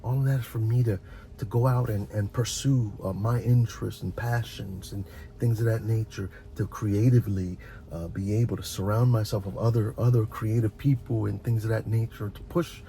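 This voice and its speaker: A man with a dark, fry-laced voice speaks over a faint low rumble. He talks off the cuff like a video host giving advice, addressing the listener directly and earnestly. His delivery is halting, with repeated words and fresh starts. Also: fairly even intonation. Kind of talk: rambling, unscripted advice talk.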